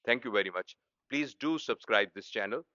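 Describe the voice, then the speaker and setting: A man with a Pakistani accent, a native Urdu speaker, explains things simply as a professor giving a lecture, haltingly rephrasing as he goes. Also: lowish voice, bright, forceful tone, no echo, muffled recording. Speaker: medical professor presenting an educational channel.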